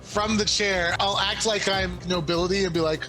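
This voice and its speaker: High-voiced male tabletop player. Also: fairly even intonation, moderate pace, pushed and bright, faint music behind, low-quality recording. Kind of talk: casual game chat.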